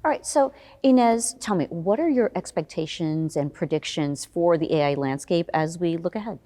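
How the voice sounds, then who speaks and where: A woman's voice: lowish voice, moderate pace, mellow, in a large hall. A woman hosting an interview show, putting questions to a guest in a prepared broadcast style.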